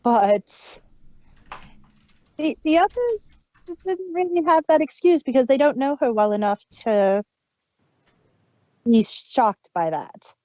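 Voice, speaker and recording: A woman's voice: high voice, expressive, slow, long pauses, dark tone, no echo. A woman casually chatting as a podcast co-host, laughing often and trailing off mid-sentence, on a dull, muffled recording. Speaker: podcast co-host reviewing an audio drama. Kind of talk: casual chat.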